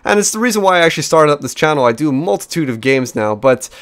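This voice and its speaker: A man in his twenties from New Zealand, chatty and energetic, casting a strategy game replay with frequent fillers and restarts at a desk microphone. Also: fairly high pitch, fast. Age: 20s. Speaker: game caster. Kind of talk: replay commentary.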